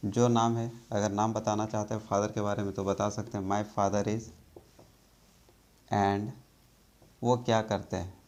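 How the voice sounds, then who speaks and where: A man from India teaching spoken English in a slow, patient way, often checking that the learners follow. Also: on the low side, little pitch movement, a little mellow.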